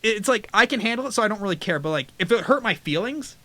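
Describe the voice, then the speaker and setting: A man's voice: sits high, lively intonation, quick pace, pushed and bright, no echo. A man talking bluntly in a casual podcast chat, laughing often.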